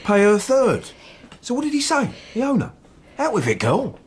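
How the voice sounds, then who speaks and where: An actor playing an irritable, bullying husband and boss in a radio drama, gruff and sarcastic, with an English accent. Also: male voice, very high voice, huge pitch swings, moderate pace, heavily acted. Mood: impatient. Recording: noticeable background noise.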